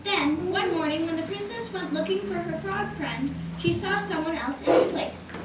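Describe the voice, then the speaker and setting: A woman with a very high voice narrates a scripted fairy tale. She sounds far from the mic in an echoey hall, with noise behind her. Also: normal speed.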